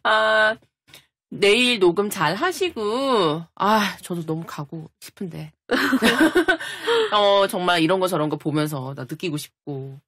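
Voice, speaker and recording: A woman's voice: medium pitch, sing-song, slow, fairly bright tone, no echo, studio quality. An effusive woman hosting a casual podcast chat, given to exclamations. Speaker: podcast host.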